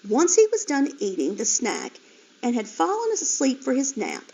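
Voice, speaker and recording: A woman teacher reading a children's chapter book aloud with expression. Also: a little high. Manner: expressive, animated. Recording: dull lo-fi recording.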